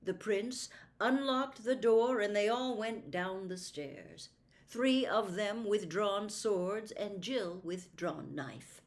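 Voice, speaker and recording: A woman reading a classic storybook aloud as scripted narration, in a measured, careful storytelling manner. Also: medium pitch. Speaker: audiobook reader.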